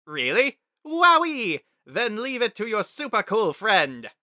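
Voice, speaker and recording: A big-voiced, eager skeleton who announces every word as if on a stage. He sits far above his reader's own pitch, bright and sharp. The delivery booms. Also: wildly swooping pitch, slow, no echo, clean studio sound, heavily acted. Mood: excited. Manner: booming and dramatic. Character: loud boastful skeleton man, eager and theatrical.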